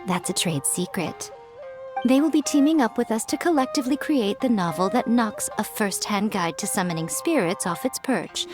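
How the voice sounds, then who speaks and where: A woman voicing a poised, sly fox-spirit publisher in a video game, teasing and self-assured in a measured way. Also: fairly high pitch.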